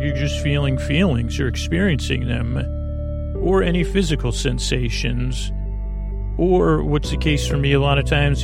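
Creaky-voiced man rambling at bedtime. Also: mid-range voice. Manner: meandering, halting, self-interrupting. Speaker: host of a bedtime sleep podcast. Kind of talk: rambling, soothing bedtime monologue.

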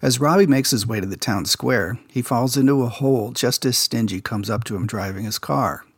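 A man's voice: medium pitch, normal speed, slightly rough, no echo, studio quality. A man hosting a podcast, casually recapping a children's TV episode and sharing behind-the-scenes production stories. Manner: relaxed insider storytelling, recounting production details.

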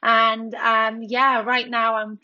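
A Welsh woman in her thirties chats as a podcast guest, pausing on ums and restarting her sentences. Her voice sits high, bright and crisp, but stays fairly level in pitch. The recording sounds a little dull and lo-fi. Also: level tone. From Wales. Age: 30s. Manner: chatty, with frequent ums and restarts. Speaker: podcast guest, business founder. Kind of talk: casual interview chat.

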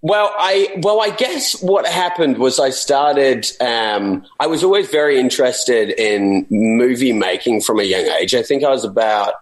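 A young man from England, a guest actor in a conversational interview, speaking in a relaxed, hesitant way with many fillers and restarts. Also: mid-range voice, unhurried, few pauses, poor audio.

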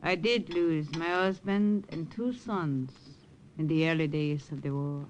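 An actress playing an older widow in a 1940s radio drama, gentle and motherly, speaking English. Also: low-pitched, unhurried, a little hiss or hum, poor audio. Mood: warm, earnest. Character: older French widow, kindly and protective.

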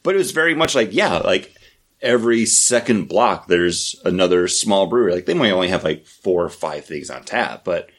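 Male podcast co-host, lowish voice, rambling and jokey. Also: lively intonation, moderate pace, a bit bright. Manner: rambling, many fillers, jokey. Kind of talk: casual chat.

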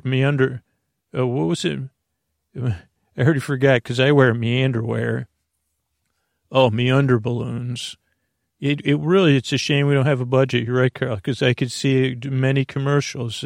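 A man hosting a sleep podcast, talking in a soft, lulling, creaky voice that wanders off on tangents, full of filler words and pauses. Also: mid-range voice, no room sound, studio quality. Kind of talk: meandering bedtime monologue.

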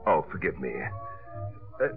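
An actor playing a smooth, flirtatious sculptor with a playful, hesitating manner, in 1950s American radio drama style. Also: male voice, rumbling low, lively intonation, unhurried. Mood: playful, suave. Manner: suave, playful, hesitating with uhs. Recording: tinny old radio broadcast, music behind.

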